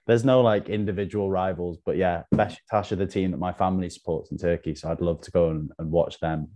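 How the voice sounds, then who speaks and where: A man's voice: sits low, fairly even intonation, brisk, mellow. A young man from North Wales, a podcast guest, talking casually and laughing at himself. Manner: laid-back, self-deprecating, laughs often. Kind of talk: casual chat.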